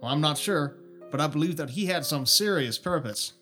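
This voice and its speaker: A man's voice: on the high side, lively intonation, a little fast, bright, forceful tone, soft background music, pitched above the performer's own voice. A man voicing an easygoing, frank American male adventurer with a folksy way of talking.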